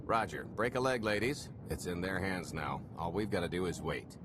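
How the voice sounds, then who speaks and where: Man playing a cocky, low-voiced roguish smuggler. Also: moderate pace, heavy background noise, lightly acted. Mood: wry. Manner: wry, glib, swaggering banter. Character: cocky roguish male smuggler pitching a heist. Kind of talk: cinematic video game voice acting. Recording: music behind.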